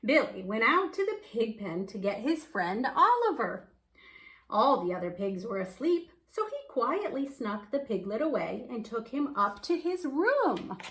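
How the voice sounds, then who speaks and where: A woman's voice: fairly high pitch, very animated, a little slow, a bit bright. A woman reading a picture book aloud, telling the story expressively and stretching words for emphasis. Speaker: children's storybook reader.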